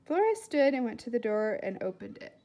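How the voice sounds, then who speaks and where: Woman doing a read aloud, highish voice, expressive storybook narration. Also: fairly quick.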